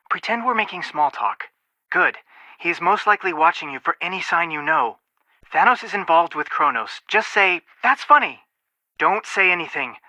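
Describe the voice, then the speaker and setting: A man's voice: fairly high pitch, pushed and bright, no echo. An actor voicing a level-headed, protective young male amateur detective in a video game, speaking in an earnest, matter-of-fact way with dry wit. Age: young adult.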